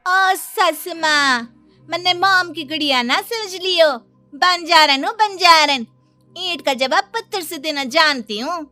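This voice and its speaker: A woman's voice: very high-pitched, sharp tone, soft background music, exaggerated voice. A woman playing a feisty, fearless young nomad daughter-in-law, drawing out her words defiantly in a cartoon story. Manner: drawn-out, defiant, taunting delivery. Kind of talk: dramatic cartoon story dialogue.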